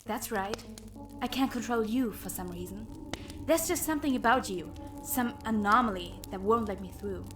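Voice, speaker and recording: A woman's voice: fairly high pitch, moderate pace, lightly acted. A woman playing a cruel, mocking female villain in an audio drama, with theatrical menace and music behind her. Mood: cold menace. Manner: taunting, theatrical.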